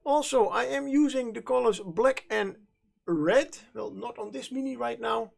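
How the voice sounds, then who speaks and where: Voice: medium pitch, a little slow, vocal fry, darkish tone. A streamer with a Dutch accent, a native Dutch speaker, chatting casually while painting, in a hesitant, rambling way with frequent self-corrections. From Netherlands. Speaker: livestream host and miniature painter.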